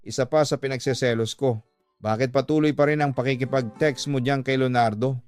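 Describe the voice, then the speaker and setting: A jealous young lover in a radio drama, played by a male narrator, hurt and reproachful as he questions his girlfriend. His voice sits at a middling pitch over a musical bed. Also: fairly even intonation, a little fast, clean tone, faint music behind, natural voice.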